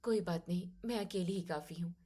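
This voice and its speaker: A young, educated daughter-in-law in a family drama story, played by a woman. She speaks softly and politely, yet reasons firmly and with quiet determination. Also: on the low side, fairly quick, slightly rough, pitched below the performer's own voice. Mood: hurt, resolute. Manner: polite but firm and reasoning.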